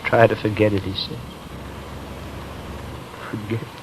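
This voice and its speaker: An actor playing a numb, bitter, grieving man in a halting, brooding 1950s radio drama style. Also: on the low side, little pitch movement, slight background noise, lightly acted, poor audio. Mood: numb grief.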